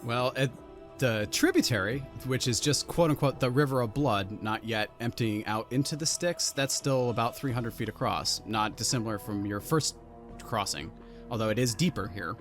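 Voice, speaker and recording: Halting, deliberate man narrating a tabletop game. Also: medium pitch, fairly bright tone. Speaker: game master. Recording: music behind the voice. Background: background music.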